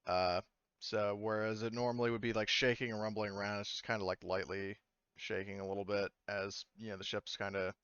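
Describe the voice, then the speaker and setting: A man runs a tabletop roleplaying game with a bright, clean voice pitched a bit low. He narrates loosely and haltingly, like someone chatting with friends. The sound is close and dry, slightly dull. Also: little pitch movement, smooth, no echo. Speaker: game master.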